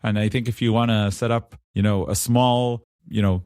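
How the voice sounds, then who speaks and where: A man with an Israeli accent hosting a casual tech podcast, chatty and relaxed. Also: lowish voice, normal speed, smooth, dry recording, clean studio sound. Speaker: podcast host.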